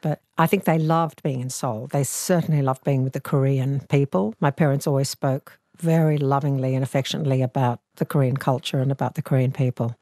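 A woman's voice: rumbling low, fairly even intonation, dry recording, studio quality. Thoughtful Australian woman in her sixties. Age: 60s. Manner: thoughtful, measured, gentle. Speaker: actor and author guest. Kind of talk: reflective interview storytelling. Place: living room, seated on a sofa.

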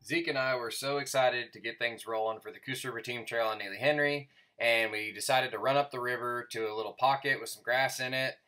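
A man's voice: medium pitch, steady tone, a little fast, bright, forceful tone. Chatty man with music behind him. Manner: upbeat, chatty, explains his gear. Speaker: fishing vlogger and father. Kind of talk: casual on-camera chat and voice-over narration. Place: outdoors on a lake boat and in a carport. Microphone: action camera mounted on the boat. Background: background music.

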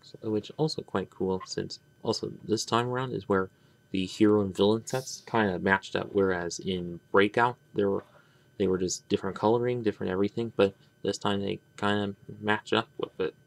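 A man giving an unscripted hands-on review, talking in a halting, rambling way and often trailing off. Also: lowish voice, fairly even intonation, gravelly, no echo, poor audio. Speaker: toy reviewer. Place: indoors at a tabletop.

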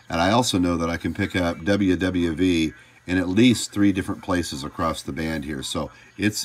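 An American man giving an informal hands-on tutorial, explaining step by step in a plain-spoken, folksy way. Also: low voice. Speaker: hobbyist host of electronics tutorial. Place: indoors at a home electronics workbench.

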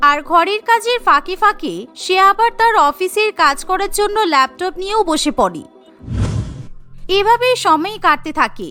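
Very high, bright female story narrator. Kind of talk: scripted cartoon storytelling narration.

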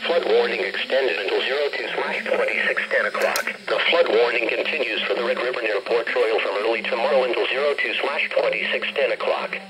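A synthetic man's voice reading an automated emergency weather bulletin in a measured, formal manner, played through a weather radio speaker. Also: mid-range voice, heavy background noise. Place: carpeted room in a home. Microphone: camera held near the radio. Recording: radio speaker picked up by handheld camera.

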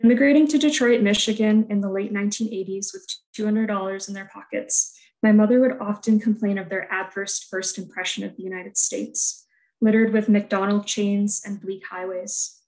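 A woman whose first language is Polish, reading a scripted artist talk about her work in a soft, measured, reflective way over earbuds on a video call. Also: mid-range voice, fairly even intonation, rough recording. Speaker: art student presenting her thesis work. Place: room at home, indoors.

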